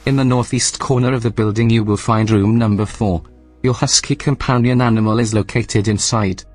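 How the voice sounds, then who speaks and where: A man voicing an unflappable, literal computer assistant in a deadpan, matter-of-fact way for an audio drama. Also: lowish voice, moderate pace, faint music behind, natural voice.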